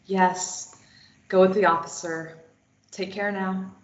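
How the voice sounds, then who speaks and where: A woman's voice: medium pitch, little pitch movement, takes it slowly, lightly acted. A woman reading a wry, stubborn young woman. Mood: dry. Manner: dry, understated. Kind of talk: table read of a stage play. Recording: roomy, muffled lo-fi recording.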